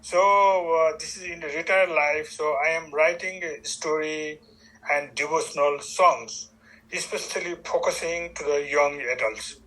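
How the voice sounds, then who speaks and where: A seventy-year-old man from Nepal with a Nepali accent, speaking haltingly on a video call as an audience member with a question. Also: a little high, steady tone, bright, forceful tone, rough recording. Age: 70s. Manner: halting, searching for words. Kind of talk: casual chat on a video call.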